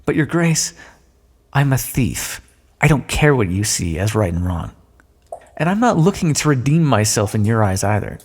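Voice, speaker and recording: A man playing a smug, sardonic thief in an audio roleplay, speaking with mocking mock-courtly politeness and drawn-out emphasis in a long echo. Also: medium pitch, very animated, husky. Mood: scornful, taunting. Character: smug, sardonic male thief, gloating at a fallen monarch. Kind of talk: scripted audio roleplay, theatrical monologue. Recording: long echoing reverb.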